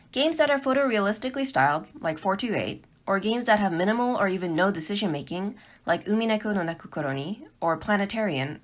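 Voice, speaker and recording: A woman presenting a scripted, pre-recorded instructional talk as an amateur developer. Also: mid-range voice.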